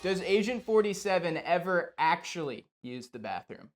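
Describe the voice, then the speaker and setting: A man's voice: high-pitched, sing-song, normal speed, soft background music. A man co-hosting an interview in a casual, joking chat style. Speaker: interview co-host.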